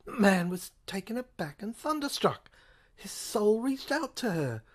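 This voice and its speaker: A woman narrating an audio play in a halting, theatrical way, repeating words for effect. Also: mid-range voice, sing-song. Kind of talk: dramatic literary narration, reading all parts.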